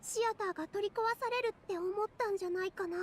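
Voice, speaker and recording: An actress playing a gentle young girl in an anime fantasy game. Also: very high voice, very fast, crowd noise. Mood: concerned, explaining. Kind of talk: Japanese anime game voice acting.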